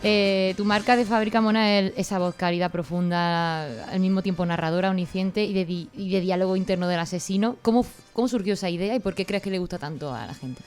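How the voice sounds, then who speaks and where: A woman's voice: mid-range voice, a little fast, few pauses, faint music behind. A woman with a Spain Spanish accent hosting a podcast and putting questions in a casual, chatty way. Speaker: podcast host. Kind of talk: casual chat and interviewing.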